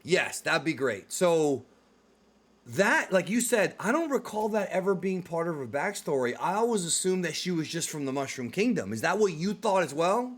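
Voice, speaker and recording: A man's voice: fairly high pitch, big pitch swings, moderate pace. A man hosting a casual, enthusiastic movie and game discussion, speaking emphatically straight to the viewers. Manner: emphatic, addresses viewers directly. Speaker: host of a movie and video game discussion show. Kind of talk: casual enthusiastic video commentary chat.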